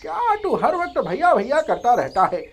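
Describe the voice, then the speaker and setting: A meddling village friend in a storybook tale, voiced by an actor. He goads and chides with very animated, swooping pitch. Faint noise and birds are heard behind. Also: normal speed. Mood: nosy, goading. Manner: pushy, egging on, chiding. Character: meddling, goading village friend of a young farmer.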